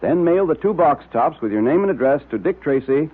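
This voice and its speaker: A man announcing in an emphatic 1930s radio style, pitching to young listeners, on a tinny old recording. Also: lively intonation, a little fast, breathy edge.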